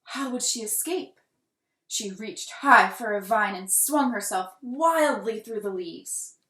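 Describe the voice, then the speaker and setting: A woman reading a children's picture book aloud with deliberate, dramatic emphasis and expressive delivery. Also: medium pitch. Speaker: children's storybook reader. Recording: roomy, echoing room sound.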